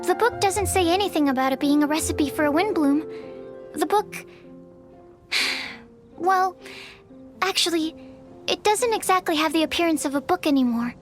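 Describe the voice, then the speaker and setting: A shy young alchemist speaks in a very high, soft voice. She is earnest and polite, and often hesitates or restarts mid-sentence. Faint game music sits behind her. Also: normal speed, long pauses. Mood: timid. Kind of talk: video game dialogue.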